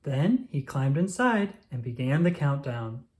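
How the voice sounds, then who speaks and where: A storyteller reading a children's picture book aloud with expressive narration. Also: medium pitch, measured pace.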